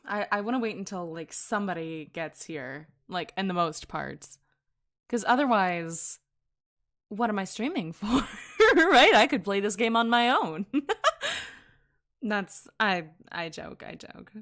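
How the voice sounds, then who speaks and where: A woman's voice: mid-range voice, animated, a little slow, fairly bright tone. A woman streaming casually to her chat, giggling often and correcting herself mid-sentence. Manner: giggly, chatty, laughs often between sentences. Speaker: VTuber streamer.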